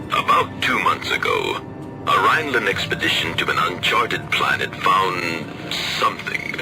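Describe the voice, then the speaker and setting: An actor playing a solemn lord of a secret order in a video game, explaining things formally and with measured weight. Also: male voice, low-pitched, expressive. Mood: grave. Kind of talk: dramatic video game cutscene acting. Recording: very loud background music.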